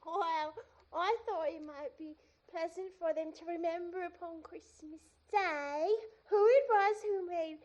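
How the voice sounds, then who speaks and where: A woman's voice: extremely high pitch, fairly even intonation, unhurried, darkish tone, lo-fi sound. A woman playing a sweet, pious, frail little boy in a radio play. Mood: sweet, earnest.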